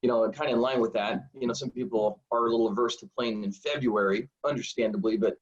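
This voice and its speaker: A man in his forties, a high school football coach, telling stories in a rambling, self-deprecating way full of fillers. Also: steady tone, fast. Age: 40s. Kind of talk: casual storytelling interview. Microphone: video call. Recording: dull lo-fi video call audio.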